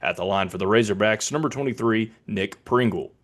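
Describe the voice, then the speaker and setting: An American man voicing a basketball arena announcer, controlled and formal, with clipped calls and deliberately delivered names. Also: on the low side, lightly acted. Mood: neutral, even. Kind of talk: public address sports announcing demonstration.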